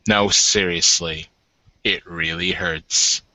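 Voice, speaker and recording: A man playing a gruff, sardonic werewolf warrior bridegroom in a tabletop game, with a dry, teasing, grumbling manner. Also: sits low, fairly even intonation, slow, bright, forceful tone, no echo, heavily acted, rough recording.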